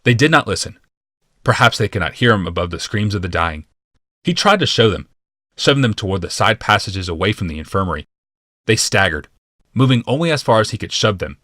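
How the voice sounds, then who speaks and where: A man narrating a fantasy audiobook from a script in a measured, deliberate and dramatic manner. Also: on the low side, animated, a bit bright, no echo, clean studio sound.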